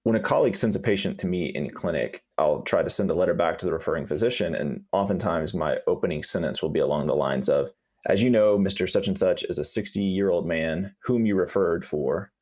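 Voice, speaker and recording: A man's voice: low voice, fairly quick, a little mellow. A man, a doctor hosting, asking grammar questions in an earnest way with light humor. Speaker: physician host. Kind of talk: podcast interview questions.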